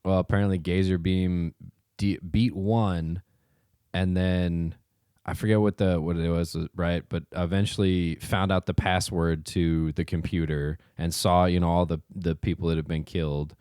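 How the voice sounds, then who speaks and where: A man's voice: low voice, fairly even intonation, normal speed, warm tone, smooth, no room sound, clean studio sound. A man co-hosting a podcast, chatting casually in a teasing, rambling way. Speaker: podcast co-host. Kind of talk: casual chat.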